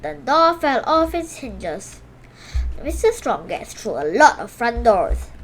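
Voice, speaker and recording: A woman reads a children's picture book in a high voice. Her delivery swings up and down with lots of expression, punching out sound words and now and then correcting a slip. There is faint noise behind her. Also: poor audio. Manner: animated, exclaims sound words, stumbles and corrects herself. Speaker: storybook reader for a children's video channel.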